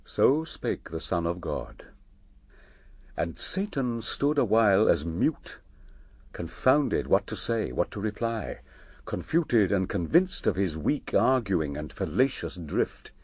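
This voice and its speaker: A British actor playing the grave, dramatic narrator of an epic poem in a classical verse radio drama. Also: male voice, sits low, big pitch swings, slow, a little mellow, breathy edge, no room sound, exaggerated voice. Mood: grave, suspenseful.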